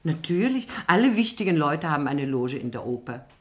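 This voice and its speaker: An actress playing a bossy, snobbish middle-aged society mother, brisk and chatty, in a German radio play. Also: deep voice, sing-song. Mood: pointed, gossipy.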